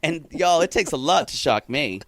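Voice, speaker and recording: A man's voice: a little high, animated, moderate pace, fairly bright tone. A man co-hosting a podcast, chatting casually and animatedly, laughing often and restarting his sentences. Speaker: podcast co-host. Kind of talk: casual recap chat.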